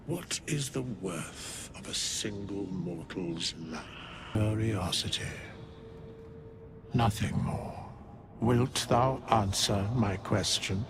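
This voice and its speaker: Ancient undead sage, low gravelly voice, solemn, cryptic, archaic phrasing, video game cutscene, background noise. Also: male voice, full character voice. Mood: solemn, probing. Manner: grave, archaic, deliberate speech. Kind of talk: scripted game cutscene acting.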